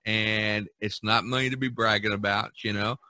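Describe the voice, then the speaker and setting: A man's voice: fairly low pitch, steady tone, moderate pace, bright, forceful tone. A man hosting a casual podcast about art, talking in a rambling, enthusiastic way and repeating himself. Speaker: podcast host and artist.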